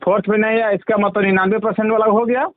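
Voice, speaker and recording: A man with a Jharkhandi Hindi accent explaining a complaint over the phone, halting and repeating himself as he goes. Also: sits high, flat delivery. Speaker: MP's representative, interviewee. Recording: dull lo-fi phone call recording.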